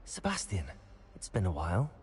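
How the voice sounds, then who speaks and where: A man's voice: deep voice, huge pitch swings, moderate pace, a little mellow, slight background noise. A man acting a polite, curious young student wizard in a video game, with a courteous, earnest manner.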